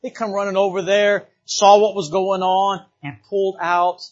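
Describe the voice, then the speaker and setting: Fervent high-voiced preacher from the Bahamas. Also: male voice, little pitch movement, moderate pace, dull audio. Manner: fervent, repetitive, calls of amen. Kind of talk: revival sermon. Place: church.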